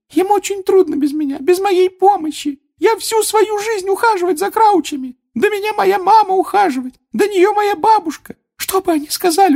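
Tiny female house-elf, extremely high squeak, shrill, tearful wailing, indignant, loyal, broken grammar, storybook character. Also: darkish tone.

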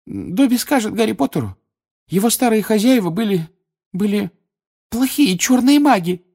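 A storybook reader voicing a timid, devoted little male house-elf with a squeaky, breathy voice and halting, servile speech. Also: sing-song, darkish tone, full character voice. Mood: hesitant daring. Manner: halting, servile, speaking of himself in third person.

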